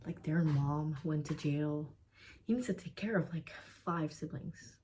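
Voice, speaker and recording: A woman's voice: low voice, unhurried, dark tone. A young Dutch woman giving a casual, blunt book review in English with a Dutch accent. She swears freely and often drops into a whisper. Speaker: book vlogger.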